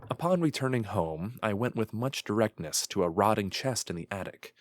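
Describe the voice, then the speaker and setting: A man's voice: fairly low pitch, animated, moderate pace, very noisy, natural voice. An actor playing a brooding, obsessed young man who narrates his morbid secrets in solemn, archaic, literary language, in a gothic horror audio drama. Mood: brooding, hushed awe. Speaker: narrator and lead character.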